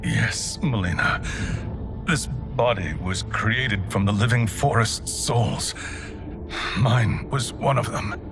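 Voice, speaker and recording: A man's voice: lowish voice, slow pace. A man playing a solemn, noble royal father returned from death, in a dramatic video game cutscene. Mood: solemn, gentle. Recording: music behind the dialogue.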